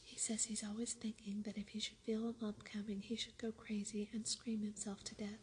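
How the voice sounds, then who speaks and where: A woman's voice: mid-range voice, flat delivery, normal speed, breathy edge. A woman whispering the lines of an earnest, eager young girl in a storybook reading.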